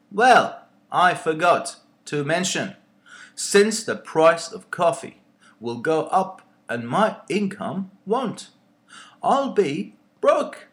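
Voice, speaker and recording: A male poet speaking in a thoughtful, deliberate way, with pauses between short phrases. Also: fairly high pitch, a bit bright.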